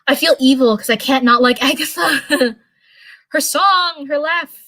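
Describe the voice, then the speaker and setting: A young woman chatting casually on a livestream, rambling with lots of fillers and restarts, her voice getting hoarse. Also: sits high, unhurried, pushed and bright, no echo. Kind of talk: casual livestream chat, answering viewers.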